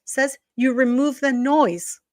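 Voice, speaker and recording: A woman with a non-native English accent, pitched a little high, gossips and scolds in a casual rant. The sound is dry and close, with no room echo around her voice. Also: slow. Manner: scornful, gossipy, emphatic, drops curse words. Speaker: YouTube commentator. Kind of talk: casual ranting commentary. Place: at home.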